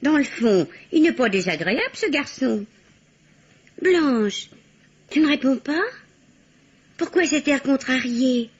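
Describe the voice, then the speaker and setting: A woman's voice: sits high, animated, takes it slowly, pauses often, breathy edge, exaggerated voice. An actress playing a prim, inquisitive lady companion, chatty and questioning, in a vintage French radio mystery. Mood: curious. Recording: dull old radio drama recording.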